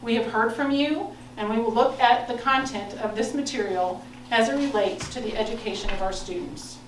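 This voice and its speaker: An American woman reading a prepared statement as a board president, in a formal, measured, firm manner. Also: a little high. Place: indoor public board meeting. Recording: echoey meeting room, distant mic, noise.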